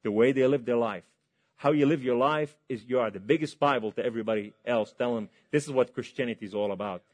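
Male preacher from Lebanon, mid-pitched voice, fervent testimony sermon, big swings in volume. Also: fast talker. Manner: impassioned, swings between loud and hushed.

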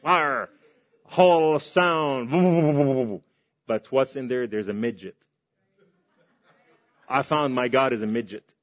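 A man's voice: medium pitch, slow pace, long pauses. Impassioned male preacher from Lebanon. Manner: impassioned, swings between loud and hushed. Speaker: preacher giving testimony. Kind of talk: testimony sermon.

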